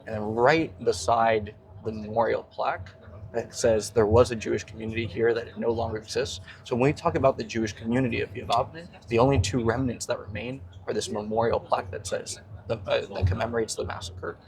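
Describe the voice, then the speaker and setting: A man giving a measured, solemn testimony about his family's history. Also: medium pitch, steady tone, creaky, background noise. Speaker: descendant of a massacre witness. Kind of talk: reflective testimony to camera.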